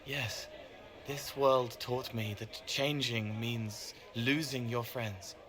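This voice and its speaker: An actor playing a gentle, troubled young man with a hesitant, wistful manner, in a video game cutscene. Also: mid-range voice, fairly even intonation, crowd noise, lightly acted. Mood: reflective, tender. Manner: hesitant, halting, plain-spoken and wistful. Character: gentle, troubled young man, once a spirit, childlike and haunted.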